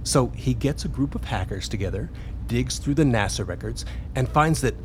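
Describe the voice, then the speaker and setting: A man's voice: mid-range voice, lively intonation, moderate pace, background noise, close to an everyday voice. A man playing an enthusiastic, sweary hacker researcher in a radio play, explaining things casually. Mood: excited, geeky. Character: excitable, laid-back hacker researcher, obsessed with mysterious transmissions.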